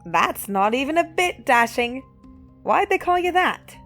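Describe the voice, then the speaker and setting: A woman playing a bold, cocky young elf renegade in an audio drama, brisk and playfully dramatic. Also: sits high, big pitch swings, fairly bright tone, soft background music, heavily acted. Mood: bold, teasing.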